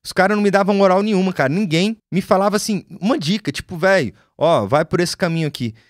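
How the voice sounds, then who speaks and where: Brazilian guy in his 40s rambling fast about studio days, slangy, dry close mic. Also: sits high, no echo. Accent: Brazilian Portuguese, lost Goiás accent. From Goiás, Brazil. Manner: animated storyteller, slangy, swears. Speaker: music producer and host. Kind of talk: casual live chat to viewers. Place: small home studio. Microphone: studio mic on boom.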